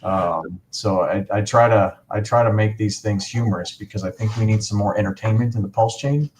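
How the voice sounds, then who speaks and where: Male livestream host, lowish voice, eager rambling delivery, slight background noise. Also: moderate pace. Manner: rambling, enthusiastic, many fillers. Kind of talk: casual livestream chat.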